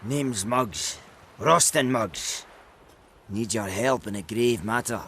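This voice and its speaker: A man's voice: lowish voice, slow, a bit bright, a little hiss or hum. An actor playing a wordy, down-on-his-luck travelling merchant in a video game, pleading in a theatrical manner. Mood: aggrieved, dramatic.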